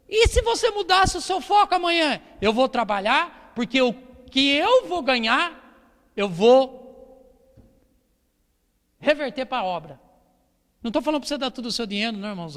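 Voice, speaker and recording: Very high-pitched Brazilian preacher, reverberant hall. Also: male voice, a bit bright. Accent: Brazilian Portuguese. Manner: exhorting, with rhetorical questions and repetition. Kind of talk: church sermon with Bible reading. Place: church hall during a service.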